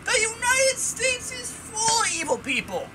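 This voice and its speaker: A man reading a visual novel aloud and breaking off into animated, sweary commentary. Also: extremely high pitch, measured pace, over music. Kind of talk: reading game text and ranting commentary.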